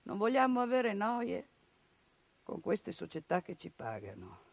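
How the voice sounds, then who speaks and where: A woman delivering an impassioned theatrical monologue, building rhetorical lists and dropping into hushed bitterness. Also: mid-range voice, big pitch swings, measured pace. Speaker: stage actress.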